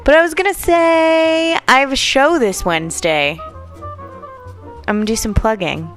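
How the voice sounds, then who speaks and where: Woman comic on a podcast, dry jokey asides, studio, quiet music in the back. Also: high-pitched, moderate pace. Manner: wry, joking asides. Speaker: comedian and podcast guest. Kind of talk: casual chat. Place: radio studio. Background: faint background music.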